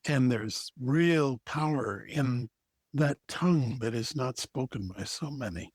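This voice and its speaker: A man's voice: mid-range voice, slow pace, darkish tone, husky, no room sound, studio quality. A man co-hosting a podcast, speaking in a thoughtful, earnest, reflective way. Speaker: podcast co-host. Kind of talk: reflective conversation.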